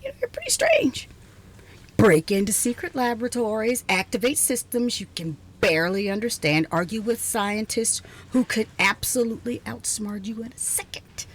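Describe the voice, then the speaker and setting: A woman playing an exhausted, prickly scientist with a soft side in an intimate ASMR roleplay, halting and teasing, often slipping into a whisper. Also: on the high side, big pitch swings, slightly rough. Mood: tired, curious. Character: exhausted, prickly, passionate female scientist, secretly softening toward intruder. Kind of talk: ASMR roleplay, intimate one-sided dialogue.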